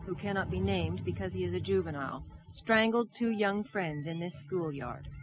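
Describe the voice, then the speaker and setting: A woman reading scripted television news documentary narration as a reporter, in a measured, dramatic storytelling manner. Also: medium pitch, slight background noise, poor audio.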